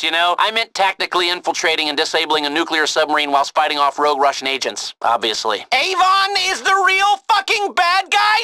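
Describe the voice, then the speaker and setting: An actor playing a cynical, nervy, wisecracking hacker and heist mastermind in a video game, talking in a chatty, sardonic way with sarcastic asides. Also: male voice, sits high, bright, forceful tone, dry recording, exaggerated voice. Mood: agitated, excited. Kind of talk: video game mission briefing acting.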